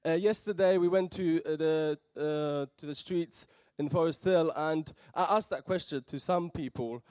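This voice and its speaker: A man's voice: fairly high pitch, normal speed, no room sound, dull audio. A young man from Poland, brought up in London, giving a hesitant sermon in church with many ums and restarts. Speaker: lay preacher. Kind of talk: nervous church talk.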